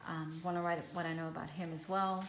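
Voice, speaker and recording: Hebrew-native woman, low register, easygoing rambling show-and-tell, roomy echo. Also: fast talker. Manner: relaxed, rambling, frequent ums and restarts. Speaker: craft vlogger. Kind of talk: casual show-and-tell of a handmade journal. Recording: echoey room with faint hiss.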